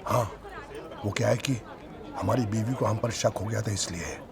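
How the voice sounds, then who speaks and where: A man's voice: lowish voice, steady tone, moderate pace, vocal fry, a crowd in the background. An actor playing a cocky, shady womaniser, brash and slangy, in a film comedy. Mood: cocky, sly. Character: shady, lecherous, cocky womanising hotel guest. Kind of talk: broad film comedy acting.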